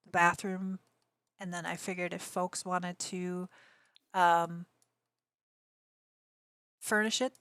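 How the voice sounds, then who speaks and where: Raspy-voiced woman streaming games, kinda low pitch, rambly chatty vibe, dry close sound. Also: fairly bright tone, no echo. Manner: rambling, chatty, answers chat messages aloud. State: allergies. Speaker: game streamer. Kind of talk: casual live-stream chat with viewers.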